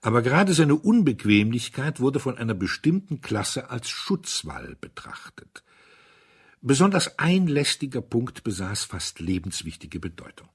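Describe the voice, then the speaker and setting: A man reading a literary story aloud as scripted narration, in a measured way with dry irony. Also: mid-range voice, big pitch swings, breathy edge. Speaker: audiobook reader.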